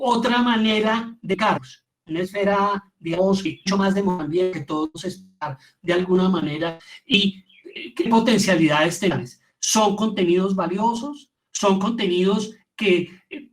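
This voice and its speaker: A middle-aged man with a Colombian accent gives an informal, reflective lecture, repeating words for emphasis as he teaches. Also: high-pitched. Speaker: theatre teacher giving a lecture.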